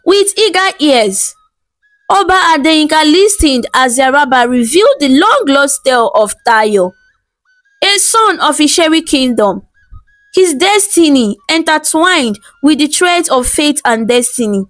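A woman telling a scripted folktale as narrator, in a measured, dramatic storybook delivery. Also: high-pitched, sing-song, no room sound. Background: background music.

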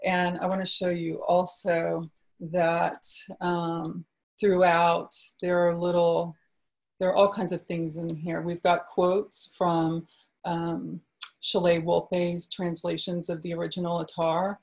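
Soft-spoken woman, slow and mellow. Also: on the low side, level tone, creaky. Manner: slow, soft-spoken, with gentle fillers between readings. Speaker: poet at a book launch. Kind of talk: poetry reading with casual asides.

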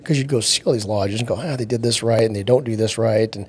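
A man's voice: on the low side, fast, a little mellow, slightly rough. A man in his late forties telling stories casually as a podcast guest, acting out bits of dialogue. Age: late 40s. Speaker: podcast guest, outdoor company executive.

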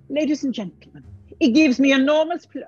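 A woman's voice: high-pitched, a little mellow, no echo, muffled recording. An actress playing a haughty, vain wicked queen in a comic pantomime, with a grand, impatient theatrical manner. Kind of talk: comic pantomime acting over video call.

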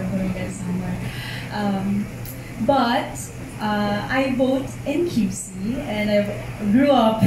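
A young woman pageant candidate answering press questions in a light, grateful way in a big hall. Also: mid-range voice. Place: large event hall indoors. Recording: distant echoey hall with noise.

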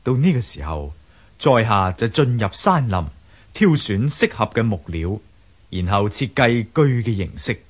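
Husky, humble master craftsman. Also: on the low side, big pitch swings, full character voice. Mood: humble, earnest. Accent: Hong Kong Cantonese. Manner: measured, deferential explaining. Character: humble, earnest master woodcarver of ancient China. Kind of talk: radio drama acting.

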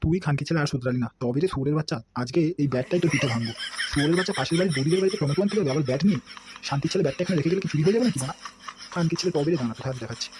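A man's voice: fairly high pitch, rapid-fire, natural voice. A man voicing a foul-mouthed, hot-tempered middle-aged father in a comic cartoon, grumbling and cursing. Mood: scolding. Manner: crude, grumbling, shouts threats. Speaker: voice actor. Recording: noisy homemade recording.

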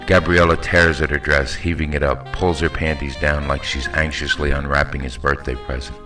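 A man's voice: very deep voice, little pitch movement, normal speed, music in the background. A man reading a literary story aloud as an audiobook narrator, in scripted narration. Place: voice-over with music behind.

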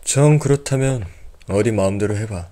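A man voicing a calm young male leader in an amateur audio drama, speaking firmly and in a measured way. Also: on the low side, natural voice.

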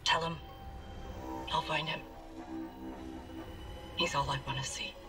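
A woman's voice: low-pitched, fairly even intonation, slow. A woman acting an earnest, worn-down scientist survivor in dramatic video game style. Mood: weary, hopeful. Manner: earnest, urgent. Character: devout, worn-down female scientist survivor aboard a doomed spaceship. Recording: distant comms call under loud music.

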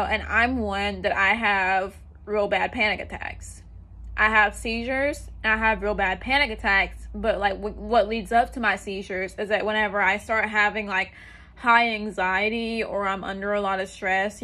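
Rambling young woman, bright voice. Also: medium pitch. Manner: rambling, lots of "like" and "you know". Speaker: vlogger. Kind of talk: casual storytime. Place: small room indoors, sitting on the floor.

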